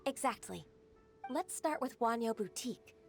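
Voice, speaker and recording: A woman voicing a calm, capable young secretary in a game, polite and measured. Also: on the high side, creaky, faint music behind. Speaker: secretary and mentor. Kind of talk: game voice acting.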